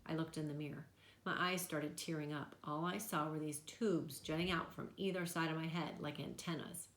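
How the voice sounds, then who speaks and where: A woman giving an expressive read-aloud of a children's novel. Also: sits low, normal speed, echoey room.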